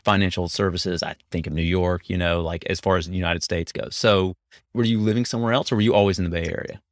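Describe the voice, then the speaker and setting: A man's voice: sits low, fairly even intonation, quick pace, no echo, clean studio sound. A man hosting a podcast interview, asking casual, rambling questions full of fillers. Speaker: podcast host. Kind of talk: conversational interview.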